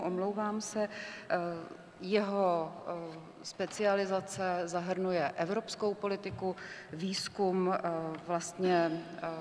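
A middle-aged woman formally introducing a conference panel in a halting way, with many filler sounds. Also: lowish voice. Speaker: panel chair. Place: large ornate hall indoors. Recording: reverberant hall with background noise.

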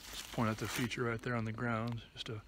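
A man in his thirties chatting casually and a bit haltingly to the camera as he walks a trail outdoors. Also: medium pitch, little pitch movement, fairly bright tone. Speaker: hiking vlogger. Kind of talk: casual vlog chat to camera while walking. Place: outdoors on a wooded trail and open meadow. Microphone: phone or camera at arm's length. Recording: outdoor recording with clear ambient noise.

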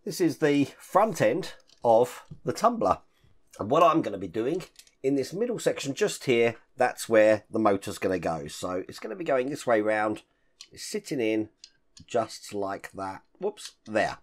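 A man in his fifties with a hoarse, deep, rough voice, casually talking through a model build step by step as a channel host. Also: very animated, unhurried. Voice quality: deep rough voice with occasional coughs. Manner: chatty, explains each step while handling parts. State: hoarse, croaky voice after illness. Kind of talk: casual hands-on build walkthrough. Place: home hobby room full of collectibles.

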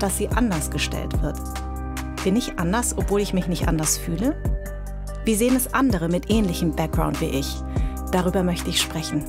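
A woman in her forties from Germany with a light Hessian accent, hosting an interview podcast and asking questions thoughtfully. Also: mid-range voice. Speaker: podcast host. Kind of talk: scripted intro, then interview.

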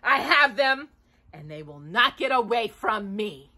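A woman's voice: mid-range voice, big pitch swings, unhurried, pushed and bright, full character voice. A woman reading a storybook aloud and voicing a wicked, gloating old female witch with a cackle.

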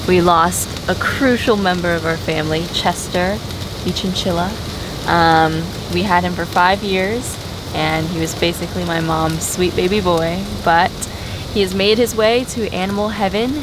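Low-voiced young woman, noisy vlog. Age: 20s. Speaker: travel vlogger. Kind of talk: casual vlog chat. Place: small camper van and open beach. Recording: clear background noise, on-location camera audio.

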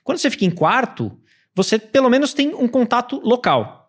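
A man's voice: very high-pitched, a little fast. A man in his thirties, a guest on a podcast, telling stories and acting out the people he quotes, speaking into a studio mic. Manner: animated storytelling, acts out quoted people. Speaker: podcast guest, Airbnb host. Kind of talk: casual podcast chat. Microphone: studio mic with headphones.

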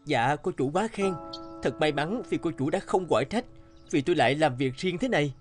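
A man plays a young servant scholar in an animated fairy tale. He is humble and earnest, speaking deferentially with expressive pitch, music behind him. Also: moderate pace, natural voice. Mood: humble, grateful. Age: young adult. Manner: deferential, hesitant. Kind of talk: animated folk-tale dialogue.

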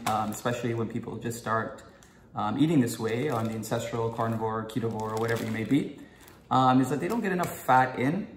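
Young male vlogger, chatty and upbeat, mid-pitched, roomy kitchen sound with faint hiss. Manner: chatty and enthusiastic, many "um"s. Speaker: food vlogger, guest host. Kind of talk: casual to-camera vlog. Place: home kitchen, indoors.

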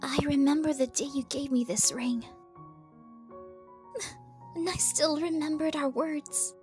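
A woman's voice: high voice, fairly even intonation, takes it slowly, over music. A woman voicing a sweet, lovestruck young girl hedgehog in a cartoon comic dub, girlish and dreamy.

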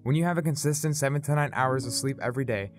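A man delivers a scripted public service announcement. His voice is medium in pitch, with guitar music clearly audible behind it. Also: fast talker. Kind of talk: scripted narration.

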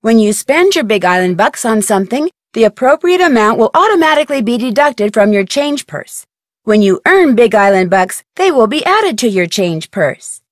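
An actress playing a capable young female detective in a video game, speaking in a measured, friendly, matter-of-fact way. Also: fairly high pitch, big pitch swings, no room sound, studio quality. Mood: friendly, instructive. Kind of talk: video game voice acting, scripted instructions and remarks.

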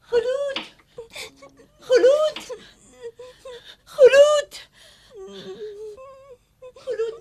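A woman playing a devoted, tender young woman teacher in a cartoon drama, emotional and pleading. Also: very high voice. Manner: emotional, pleading, tearful delivery. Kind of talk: dubbed cartoon drama acting in formal Arabic.

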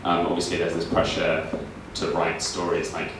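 A man's voice: low-pitched, monotone, normal speed. A man in a theatre audience asking a question in an informal, hesitant way. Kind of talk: casual spoken audience question. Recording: very echoey, distant theatre, faint noise.

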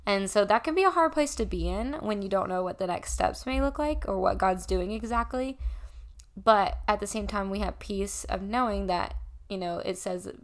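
A woman in her early twenties, a podcast guest, speaking earnestly and reflectively in casual conversation. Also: medium pitch. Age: early 20s. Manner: earnest, reflective, with pauses and restarts. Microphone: desk condenser mic.

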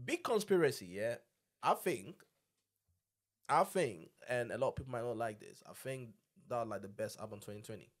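A man's voice: mid-range voice, lively intonation, normal speed. A man, chatting casually and emphatically as a podcast host, repeating himself in slang on a close studio mic. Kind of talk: casual chat. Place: small indoor podcast studio. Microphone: close studio mic on boom arm.

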